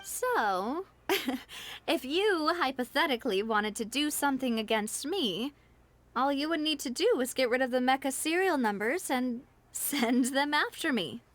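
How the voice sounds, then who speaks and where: A woman's voice: sits high, expressive, measured pace, fairly bright tone. An actress playing a confident, polite young female detective in a video game, courteous with a pointed, teasing edge.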